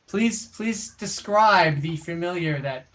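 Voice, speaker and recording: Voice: medium pitch, lively intonation, slow pace, a bit bright. A game master casually narrating an online tabletop game and chatting with the players.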